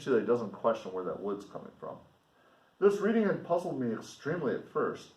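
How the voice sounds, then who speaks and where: Man, medium pitch, teacher reading aloud, deliberate, explanatory, casual asides, roomy echo. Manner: deliberate, explanatory, pausing to comment for students. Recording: roomy echo, distant microphone.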